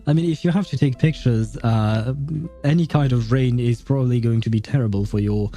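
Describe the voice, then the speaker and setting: Dark, mellow-voiced man rambling on stream. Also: medium pitch, normal speed, faint music behind. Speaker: game streamer. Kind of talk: casual livestream commentary.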